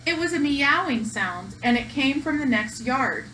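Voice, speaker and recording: A woman's voice: high-pitched, animated, unhurried, sharp tone, distant mic, slight background noise, low-quality recording. A woman reading a picture book aloud to young children, speaking simply and affectionately, as a school educator does.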